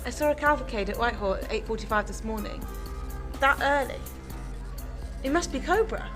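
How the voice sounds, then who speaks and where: A woman's voice: on the high side, brisk, music in the background, close to an everyday voice. A woman playing a driven, anxious female news reporter in a radio drama. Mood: alert.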